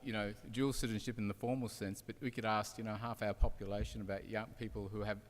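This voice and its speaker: A man's voice: on the low side, little pitch movement, quick pace. A man, speaking earnestly as a panelist in an echoey hall. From Australia, part of childhood in Turkey. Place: large indoor event hall. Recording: echoey hall public address sound.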